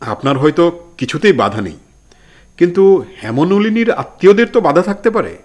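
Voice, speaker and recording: A man playing a mock-reasonable acquaintance who makes measured, pointed remarks in a Bengali audio drama. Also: sits high, big pitch swings. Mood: insinuating.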